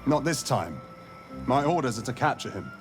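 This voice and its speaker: An actor playing a courteous, wry wanderer who hunts monsters in a video game, speaking like a measured storyteller. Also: male voice, mid-range voice, very animated, over music, close to an everyday voice. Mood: earnest. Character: wry, weary wandering male monster hunter, courteous trickster.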